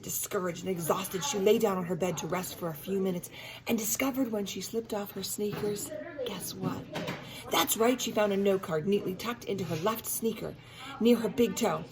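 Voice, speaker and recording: Woman reading a children's storybook aloud. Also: mid-range voice. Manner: engaging, teacherly, asking listeners questions. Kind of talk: expressive children's storybook read-aloud with asides to listeners.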